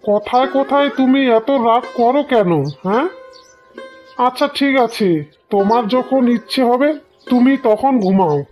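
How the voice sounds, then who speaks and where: A woman's voice: on the high side, unhurried, darkish tone, close to an everyday voice. A woman voicing a puzzled, placating husband in a cartoon, coaxing and reasoning with mild exasperation. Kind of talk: Bengali moral-story cartoon acting. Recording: slightly dull, lo-fi sound. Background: plucked string music.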